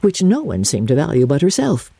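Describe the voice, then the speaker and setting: An older woman with a deep, husky voice reads classic fiction as a measured, theatrical, expressive narrator. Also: no room sound. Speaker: audiobook narrator. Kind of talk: dramatic literary narration.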